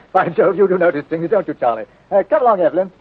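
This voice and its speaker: An actor playing a hearty, eager, slightly awkward upper-class British gentleman in a 1930s radio drama. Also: sits high, animated, fast, slightly rough. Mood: jovial, bashful. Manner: hearty, old-fashioned exclamations. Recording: thin tinny 1930s radio recording.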